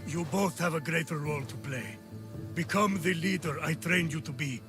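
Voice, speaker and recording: A man playing a stern, proud, middle-aged Spartan general and father in a video game, speaking in a measured, solemn, commanding way. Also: a little high, lightly acted. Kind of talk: dramatic video game acting. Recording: music behind the voice.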